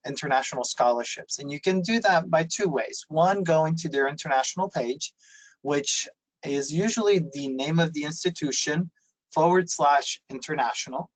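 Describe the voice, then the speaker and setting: A man presents on a video call, his voice sitting a little high for a man. He explains things patiently, with plenty of fillers and restarts along the way. The sound is dry and close, with no room echo. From Italy. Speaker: university presenter. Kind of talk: webinar presentation.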